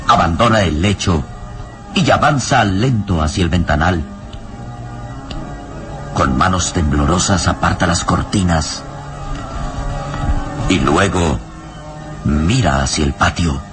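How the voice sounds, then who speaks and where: Dramatic radio serial narrator over music. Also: male voice, sits low, slow, pauses often. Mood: hushed suspense. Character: solemn, suspenseful storyteller of a horror serial.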